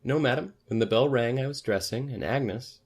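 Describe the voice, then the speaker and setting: A man acts out a parlour maid in a storybook reading, her pitch lifted well up. She is deferential and stiff, with a grudging, quietly superior air. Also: normal speed, breathy edge. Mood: patient, superior. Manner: deferential, prim, grudging in answers. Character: stiff, respectful parlour maid, a woman, doggedly self-righteous. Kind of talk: audiobook character voice.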